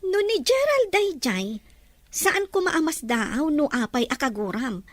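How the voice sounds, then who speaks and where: A woman's voice: high-pitched, sing-song, a little slow, no room sound. A woman playing a thoughtful young female student who questions her friend in an audio drama. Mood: pondering, gentle probing. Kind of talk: Filipino audio drama dialogue in Ilocano.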